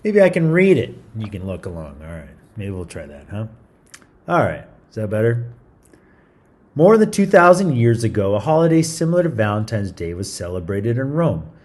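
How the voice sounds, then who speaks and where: A man's voice: fairly low pitch, very animated, normal speed, a little mellow. A man hosting a story time, reading a picture book aloud in an informal, chatty way with small stumbles and asides. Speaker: read-aloud storyteller, show host.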